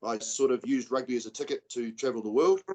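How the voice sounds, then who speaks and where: A man's voice: mid-range voice, fast talker. A New Zealand man in his forties, a rugby coach, talking casually on a video call with lots of fillers. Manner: relaxed, rambling, many fillers.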